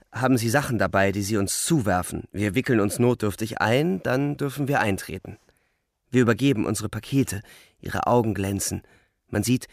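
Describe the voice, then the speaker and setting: An actor playing a bitter, world-weary young front-line soldier narrating a radio drama in a measured, grim, gravelly way. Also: male voice, mid-range voice, dry recording, clean studio sound. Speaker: first-person narrator.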